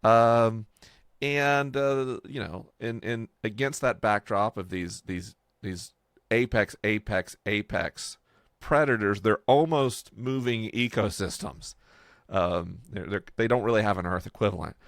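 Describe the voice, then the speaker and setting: A man co-hosting a podcast, explaining casually and off the cuff in a halting, digressive way. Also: fairly low pitch, fairly bright tone, no room sound, clean studio sound. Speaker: podcast co-host and world builder.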